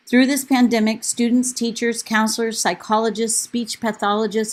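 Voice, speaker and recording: A woman teacher and union officer delivering a prepared public comment to a school board in an earnest, steady manner over a remote call. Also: mid-range voice. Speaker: teacher and union vice president. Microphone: remote call audio.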